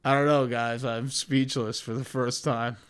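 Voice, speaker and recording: A man's voice: medium pitch, flat delivery, measured pace, smooth. A man in his forties hosting a comedy podcast, chatting casually in a profane, rambling way. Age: 40s. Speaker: podcast host.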